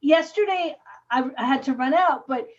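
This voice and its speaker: A woman with a high voice, interviewing in an informal, conversational way. She reacts readily and keeps things casual. The recording is somewhat muffled and lo-fi. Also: normal speed. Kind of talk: casual chat.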